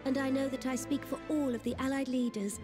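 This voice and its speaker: A woman's voice: high voice, fairly even intonation, fairly quick, natural voice. A woman playing a gracious, resolute young sovereign in a fantasy video game, speaking in a formal, courtly way. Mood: hopeful, resolute. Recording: long reverb, game music underneath.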